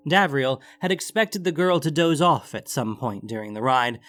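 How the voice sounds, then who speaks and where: Guy narrating a fantasy audiobook, normal pitch, bit of music under him.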